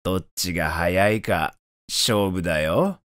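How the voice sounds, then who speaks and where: A man voicing a calm, confident male tortoise in a children's animated fairy tale, speaking in a measured, self-assured way. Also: deep voice, noisy background. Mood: challenging.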